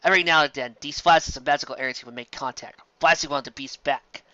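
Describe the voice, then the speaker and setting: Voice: mid-range voice, fast, pushed and bright, dry recording, muffled recording. A narrator reading a fantasy story aloud in a dramatic scripted style.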